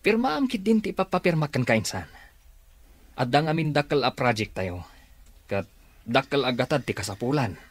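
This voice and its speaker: A man's voice: medium pitch, very animated, fairly quick, long pauses, no echo. An actor playing a greedy, scheming male cousin in a radio drama, wheedling and sneering with a Filipino accent. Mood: pushy.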